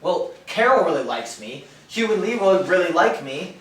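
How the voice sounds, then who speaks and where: A man's voice: sits high, big pitch swings, moderate pace. A man in a stage play, playing an awkward, insecure young scriptwriter. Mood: defensive, hurt. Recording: echoey distant stage recording, faint noise.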